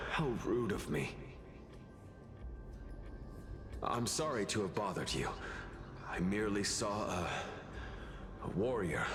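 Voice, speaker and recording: A man voicing a nervous, polite, excitable ghostly soul in a video game. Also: fairly low pitch. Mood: apologetic. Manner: jittery, excitable. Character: nervous, polite male ghost soul guarding a plundered vault. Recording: echoey, with game music behind.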